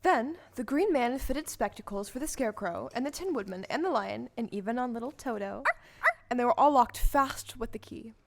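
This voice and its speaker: High-voiced woman narrating a story. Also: expressive, moderate pace.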